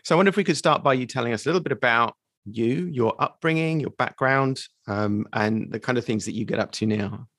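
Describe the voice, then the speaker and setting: A man's voice: mid-range voice, a bit bright, dry recording, clean studio sound. Courteous male podcast host. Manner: measured, courteous questioning. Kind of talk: scripted intro, then interviewing.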